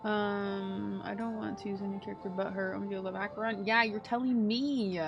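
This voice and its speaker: A woman's voice: medium pitch, flat delivery, moderate pace, vocal fry, dull audio, music in the background. A woman game streamer giving casual, playful, slangy live reactions.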